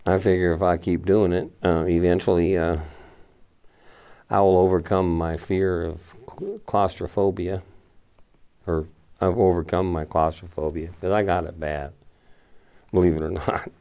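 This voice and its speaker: Deep-voiced older man in a mine. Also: flat delivery, a little slow, pauses often, dark tone, smooth. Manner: wry, self-deprecating, joking. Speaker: camera operator. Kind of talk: casual behind-the-scenes chat. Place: inside an abandoned mine tunnel.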